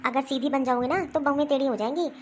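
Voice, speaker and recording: Woman doing a bossy old mother-in-law, high voice, scolding and ordering around. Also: quick pace, a little hiss or hum, natural voice. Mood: scheming. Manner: scolding, commanding, grumbling to herself. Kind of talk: family skit acting.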